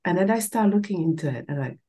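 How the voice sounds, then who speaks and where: A woman's voice: fairly low pitch, animated, fast, dark tone. A woman from the Czech Republic with a Czech accent, hosting a podcast in a casual, conversational way. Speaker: podcast host.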